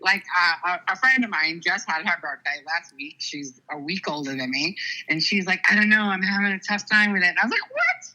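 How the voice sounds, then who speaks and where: Woman about fifty chatting on a podcast, bright n animated, kinda blunt. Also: lowish voice, brisk, low-quality recording. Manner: blunt, sweary banter. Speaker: podcast co-host. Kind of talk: casual podcast chat.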